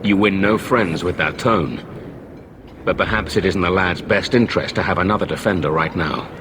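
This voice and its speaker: An actor playing a sober, guarded templar knight in a video game, speaking in measured, formal phrases. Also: male voice, deep voice, background noise, natural voice. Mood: reluctant, confiding. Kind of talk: serious video game character acting.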